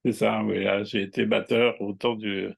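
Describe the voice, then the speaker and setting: Older male guest, 60s, low gravelly husky voice, hesitant and unhurried. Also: flat delivery. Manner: unhurried, hesitant, many fillers and tag words. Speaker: guest, writer and astrologer. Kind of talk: casual interview chat.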